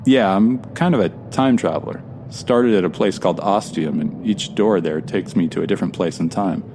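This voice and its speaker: A man's voice: sits low, normal speed, noisy background. A man with an American accent playing an earnest, stranded time traveler in an audio drama. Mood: friendly, curious. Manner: conversational, earnest. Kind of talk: first-person audio drama acting.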